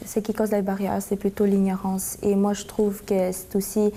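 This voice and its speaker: A sixteen-year-old girl from the Philippines telling a story in an animated, rambling way. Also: medium pitch, moderate pace. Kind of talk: casual testimony.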